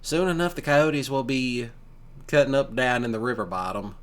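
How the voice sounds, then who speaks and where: A man's voice: mid-range voice, close to an everyday voice. Man playing easygoing, affectionate country boyfriend. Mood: wistful, intimate. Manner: casual, teasing, folksy, with pauses. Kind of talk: ASMR boyfriend roleplay, one-sided conversation.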